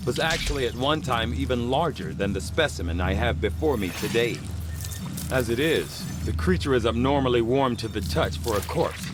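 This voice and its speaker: A man's voice: medium pitch, lively intonation, natural voice. Man playing a grave, erudite game scientist. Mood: clinical. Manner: formal, precise, measured, academic diction. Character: erudite, grave middle-aged male alien researcher. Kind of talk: scripted video game cutscene acting. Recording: loud music under the voice.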